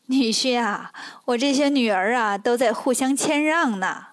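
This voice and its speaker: A woman's voice: a little high, a little slow, no echo, close to an everyday voice. A woman voicing a crafty, coy middle-aged mother-in-law with a coaxing manner in a storybook tale.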